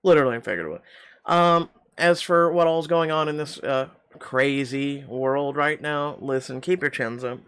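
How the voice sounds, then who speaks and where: A man's voice: a little high, normal speed, fairly bright tone, smooth. Middle-aged man, rambling and wry. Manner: rambling, many fillers, wry. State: throat slightly constricted. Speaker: game channel host. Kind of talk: reading a story game aloud with asides.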